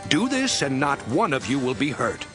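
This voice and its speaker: The earnest apostle Paul. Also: male voice, mid-range voice, huge pitch swings, moderate pace. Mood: earnest pleading. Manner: earnest, pleading, reassuring. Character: apostle Paul, calm steadfast older man. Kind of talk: audio drama acting. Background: music score.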